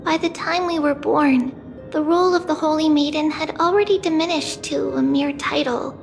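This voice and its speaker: A woman playing a small, gentle demigod priestess girl in a video game, soft-spoken, measured and wistful. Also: sits high, fairly even intonation, creaky, soft background music. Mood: calm, wistful.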